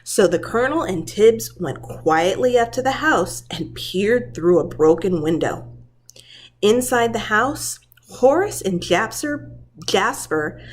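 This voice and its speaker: A woman who works as a preschool teacher, reading a children's story aloud in a dramatic, deliberate storytelling manner. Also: mid-range voice, slightly rough.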